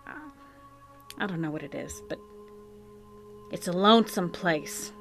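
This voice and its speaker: An actress playing a plain-spoken, regretful middle-aged farm wife in a live audio drama, with earnest period rural speech. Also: female voice, fairly low pitch, measured pace, soft background music. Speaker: Mrs Hale. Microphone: home microphone in a closet.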